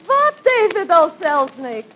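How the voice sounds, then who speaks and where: Bright, brassy wisecracking woman. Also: extremely high pitch, very animated, slow, heavily acted, poor audio. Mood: haughty, mocking. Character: brash, sarcastic wisecracking woman. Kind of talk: 1940s radio comedy acting. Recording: old radio recording, faint noise, audience.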